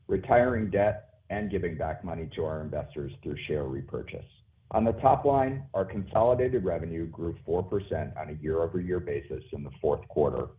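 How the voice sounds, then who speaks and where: Deep-voiced male executive on phone line. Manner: formal, measured read delivery. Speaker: company executive. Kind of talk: scripted corporate earnings presentation. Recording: muffled phone-like conference call line.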